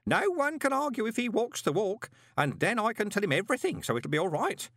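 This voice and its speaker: A man playing an anxious, superstitious old junior postman who mutters and rambles in rough colloquial speech. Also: high-pitched, very animated, a little fast, fairly bright tone, no room sound, studio quality, voice pushed up, exaggerated voice. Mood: scheming.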